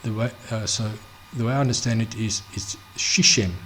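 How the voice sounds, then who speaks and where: A man teaching a Bible study off the cuff, halting, full of restarts, fillers and "okay?" check-ins. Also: fairly low pitch, steady tone, bright, forceful tone, a little hiss or hum. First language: not Hebrew. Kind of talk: unscripted screen-share Bible study.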